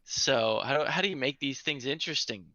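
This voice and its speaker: A man's voice: mid-range voice, expressive, moderate pace, bright, forceful tone, no echo, muffled recording. A man chatting casually as a guest on a talk show, rambling through his thoughts with many fillers. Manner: rambling, lots of fillers, friendly.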